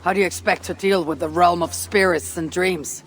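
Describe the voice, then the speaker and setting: A woman's voice: fairly low pitch, fairly even intonation, moderate pace, close to an everyday voice. Actress doing a blunt viking warrior woman, suspicious, game cutscene, bit of background noise. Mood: suspicious, challenging. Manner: terse, blunt, challenging. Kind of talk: video game cutscene dialogue.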